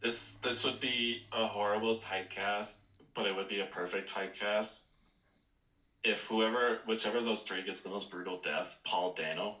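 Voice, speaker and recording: A man's voice: on the low side, steady tone, normal speed, pushed and bright. A man co-hosting a podcast, chatting casually, recorded with a roomy echo. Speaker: podcast co-host. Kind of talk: casual chat about movies.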